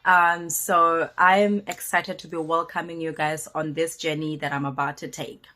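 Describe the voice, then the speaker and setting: A woman in her late twenties talks to her viewers in a candid, chatty vlog. Her voice is low and a bit husky from a cold. She pauses and hedges often as she tells her story. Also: flat delivery, bright, forceful tone. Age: late 20s. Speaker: vlogger. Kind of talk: casual personal vlog.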